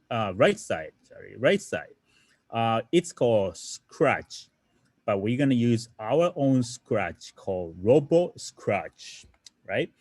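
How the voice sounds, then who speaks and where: A man's voice: medium pitch, darkish tone, no room sound. A man from Japan, a native Japanese speaker, teaching an online programming lesson in English, explaining step by step with many fillers and self-corrections and often asking the audience questions. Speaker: coding teacher.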